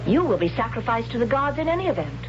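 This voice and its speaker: Animated, high-voiced proud young huntress. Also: female voice, fast, over music. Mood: stern. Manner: blunt, matter-of-fact, questioning. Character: proud, blunt young huntress, puzzled by feeling. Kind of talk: 1970s radio drama acting. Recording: noisy, dull 1970s radio broadcast with hum.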